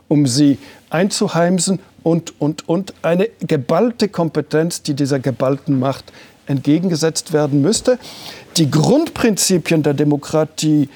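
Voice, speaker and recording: An older man whose native language is French, giving measured, deliberate answers as an interview guest and slowing down to stress his points. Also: fairly high pitch, a little mellow, dry recording. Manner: measured, deliberate, slows down for emphasis.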